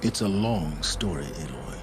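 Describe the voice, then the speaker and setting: An actor playing a calm, secretive scholar with a guilty past in a video game, speaking in a measured, theatrical storyteller's manner. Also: male voice, rumbling low, background noise. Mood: reflective storytelling. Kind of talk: dramatic video game cutscene acting.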